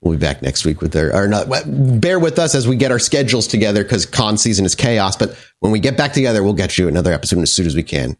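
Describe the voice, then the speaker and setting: A man narrating a tabletop vampire game as its game master, in a dramatic, halting storytelling manner. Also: lowish voice, sing-song.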